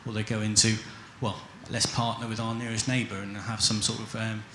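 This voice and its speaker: Man, lowish voice, monotone, lots of fillers, echoey hall. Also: a little fast, a little hiss or hum. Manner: modest, rambling, many ums and uhs. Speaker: head of internal audit, panelist. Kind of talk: conference panel self-introduction. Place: conference hall.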